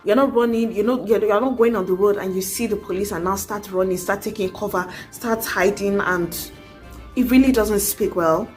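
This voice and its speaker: A woman's voice: medium pitch, fairly even intonation, normal speed, a little mellow, soft background music. A young adult woman, talking earnestly to camera in a casual advice vlog, urging and coaxing with many asides. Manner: earnest, exhorting, frequent "you know". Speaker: vlogger.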